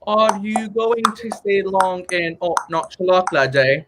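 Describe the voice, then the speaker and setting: A man's voice: high voice, expressive, a little slow. A young man playing a folksy, doubtful cart driver in a 1930s-style radio play. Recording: noisy home recording with clicks.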